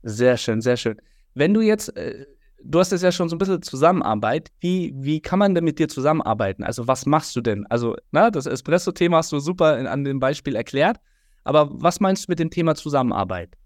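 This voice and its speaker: A man's voice: fairly high pitch, very animated, fairly quick, no echo, clean studio sound. Chatty man hosting a podcast. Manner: friendly, rambling, many fillers. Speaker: podcast host. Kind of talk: casual interview chat.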